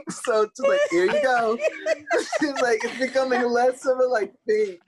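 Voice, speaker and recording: A woman's voice: on the high side, wildly swooping pitch, measured pace, a loud crowd. A woman in casual chat as a cast member at a table read.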